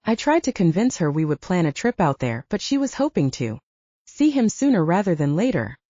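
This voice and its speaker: A woman narrating an audiobook, playing a gentle mother who speaks softly and confidingly to her husband about family. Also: lowish voice, very animated, a little fast, no room sound, dull audio. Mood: apprehensive. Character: gentle mother, affectionate wife talking over family matters.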